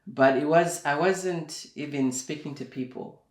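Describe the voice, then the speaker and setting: A young man from Zimbabwe, a guest in a casual interview, speaking slowly and thoughtfully, with plenty of fillers. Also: on the high side. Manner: slow and reflective, with many fillers. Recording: echoey room.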